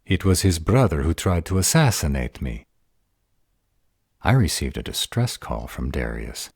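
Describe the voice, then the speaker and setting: A man playing an arrogant, protective vampire lover in a roleplay audio drama, husky and deliberate. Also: very animated, darkish tone, no room sound, clean studio sound, exaggerated voice. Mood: puzzled, serious. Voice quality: husky, very deep voice. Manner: haughty, deliberate delivery.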